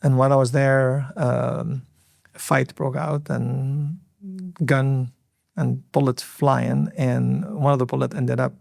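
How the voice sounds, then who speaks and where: A man in his fifties from Lebanon, an Arabic speaker, recounting his life in an earnest, reflective interview with many filler pauses. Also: mid-range voice, level tone, creaky, darkish tone, no room sound, clean studio sound. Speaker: hospital CEO, podcast guest. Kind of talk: interview storytelling.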